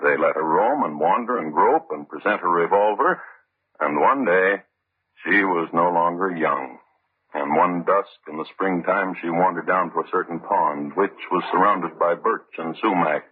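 An actor as a solemn, wry crime-story narrator, reading scripted old-time radio narration in a measured way. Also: male voice, low voice, breathy edge. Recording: muffled old radio broadcast recording.

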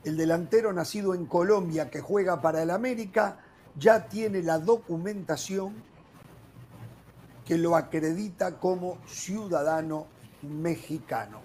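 A man hosting a sports talk panel, animated and leaning on "eh" fillers as he leads a heated debate. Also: fairly high pitch, a little slow, a little hiss or hum. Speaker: sports talk show host.

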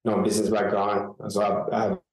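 Middle-aged man from Haiti, guest interviewee; low flat delivery, hesitant, echoey call audio. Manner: halting, many fillers and restarts. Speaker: guest journalist and author. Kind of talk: interview answers.

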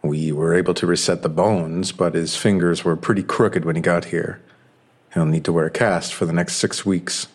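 An actor playing a stern, suspicious hospital doctor, blunt and probing, in a podcast audio drama. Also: male voice, deep voice, fairly even intonation, normal speed, breathy edge. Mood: clinical, probing. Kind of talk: full-cast audio drama.

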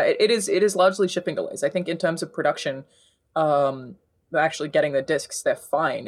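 Casting: young Australian woman, deep voice, chatty podcast co-host. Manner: laughs often, chatty and animated. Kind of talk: casual chat.

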